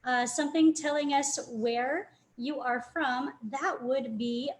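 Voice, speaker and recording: A woman's voice: high voice, steady tone, slow, low-quality recording. A woman hosting a live video chat, upbeat and enthusiastic as she introduces her guests. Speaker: host.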